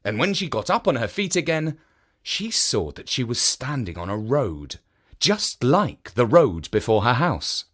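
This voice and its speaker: A man narrates a children's storybook. He takes his time, with measured phrasing. His pitch rises and falls very expressively, in a highly animated way.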